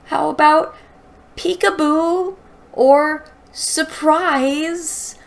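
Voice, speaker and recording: A woman's voice: high-pitched, fairly even intonation, drawn-out words, creaky. A woman reading a story aloud and breaking in with sarcastic, exasperated commentary. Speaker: host reading and critiquing a fantasy novel aloud.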